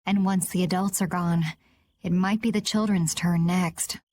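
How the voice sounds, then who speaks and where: A woman's voice: fairly low pitch, steady tone, measured pace, mellow. An actress playing a cool, composed and frank woman adventurer in a video game. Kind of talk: video game cutscene acting.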